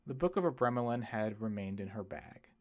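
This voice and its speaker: A man's voice: fairly low pitch, expressive, a little fast. A man reading literary fiction aloud as an audiobook narrator, telling the story expressively. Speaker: audiobook reader.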